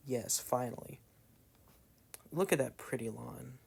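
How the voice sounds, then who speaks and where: A young man with a Southern drawl talks casually over his gameplay. His voice is mid-pitched with a gravelly, fry-tinged edge, and he drifts from thought to thought with plenty of loose fillers. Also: a little slow, darkish tone, slight background noise. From Southern United States. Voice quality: meandering with frequent "like" fillers and trailing asides. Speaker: gameplay commentator. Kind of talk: rambling casual commentary.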